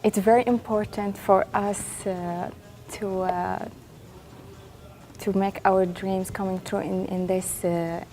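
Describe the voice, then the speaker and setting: A young woman whose first language is Arabic speaks slowly in English and searches for her words. Her voice is mellow and warm, and her tone stays flat. She pauses often to think. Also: medium pitch, faint music behind. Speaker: bride-to-be interviewee. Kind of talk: casual interview answers. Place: hotel meeting room, seated at a table.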